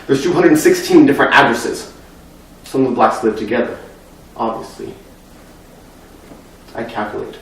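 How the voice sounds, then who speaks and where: A man's voice: medium pitch, expressive, moderate pace, pauses often, pitched below the performer's own voice, close to an everyday voice. A young man performing a dramatic monologue as a grieving, anxious, talkative boy, with an earnest, intense delivery, recorded in a very echoey room far from the mic. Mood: determined. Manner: earnest, intense, breathless run-on telling that swells from hushed to loud. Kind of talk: dramatic monologue at a speech competition.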